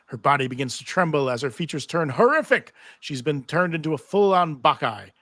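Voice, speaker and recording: A man's voice: fairly high pitch, lively intonation, a little fast, a bit bright, no room sound. A man narrating stage directions in a live table read of a TV script.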